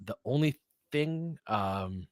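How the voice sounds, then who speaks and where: Male basketball play-by-play host, medium pitch, casual and conversational analysis, very dry sound. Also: animated, slow, dry recording. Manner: conversational, thinking aloud. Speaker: host, fan play-by-play commentator.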